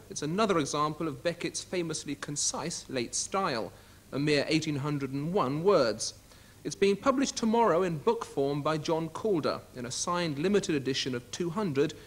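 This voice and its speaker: A British man in his thirties presents to camera in a television studio. His voice sits a little high for a man, and he delivers a scripted introduction as an arts presenter. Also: lively intonation, normal speed.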